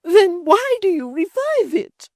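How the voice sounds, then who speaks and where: A querulous old mother who whines and shrieks in a high, swooping voice, as a male reader puts her on. She is self-pitying and theatrical, from a storybook reading. The sound is close and dry. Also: very animated, normal speed, darkish tone, no room sound, exaggerated voice. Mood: whining. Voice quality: shrieking, whining. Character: aged palsied mother, shrill, whining, self-pitying. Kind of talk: audiobook character voice.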